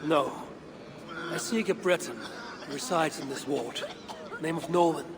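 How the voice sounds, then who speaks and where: An actor playing a steadfast, plainspoken Norse warrior in a video game, with a terse, grave, earnest delivery. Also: male voice, on the high side, unhurried, a crowd in the background. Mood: businesslike. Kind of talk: dramatic video game acting.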